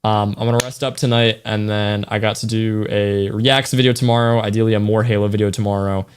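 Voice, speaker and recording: Tired, raspy-voiced young male streamer. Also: fairly low pitch, flat delivery, a little fast, a little hiss or hum. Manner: loose, thanks donors, slang-heavy, trails off. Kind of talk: casual livestream chat to viewers.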